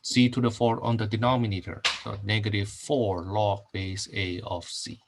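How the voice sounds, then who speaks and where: A man's voice: lowish voice, little pitch movement, normal speed, slight background noise. Indonesian-born man teaching math, repeating himself. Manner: repeats phrases, many fillers and okays. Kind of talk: recorded online math lecture.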